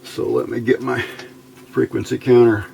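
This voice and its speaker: A man with a gravelly, mid-pitched voice presents a casual hobby demonstration. He thinks aloud informally in short phrases. It sounds like a home video recorded indoors, with faint noise behind him. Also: flat delivery, normal speed, mellow. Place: indoors at a workbench.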